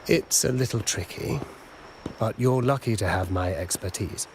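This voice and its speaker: A man's voice: fairly low pitch, normal speed, slight background noise, natural voice. An actor playing a self-assured male technical expert and retainer in a video game, speaking precisely and with self-satisfaction. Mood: smug, businesslike. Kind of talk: scripted video game dialogue.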